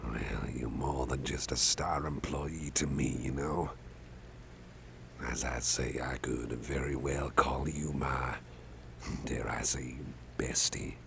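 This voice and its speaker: Man acting very deep, gravelly, caring boss. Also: fairly even intonation, slow, a little hiss or hum, heavily acted. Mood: affectionate. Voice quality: deep gravelly murmur, often breathy. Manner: gentle, reassuring, rambling with soft hesitations. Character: big, gruff, caring male boss, protective and friendly. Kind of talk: ASMR personal-attention roleplay.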